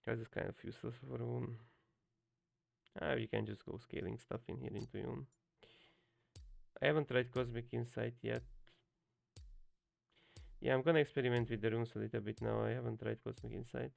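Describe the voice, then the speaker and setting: Gravelly Hungarian man streaming, dry mic. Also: medium pitch, level tone, normal speed, long pauses, dry recording. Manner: rambling, many fillers and restarts. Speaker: game coach streamer. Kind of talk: casual live-stream commentary.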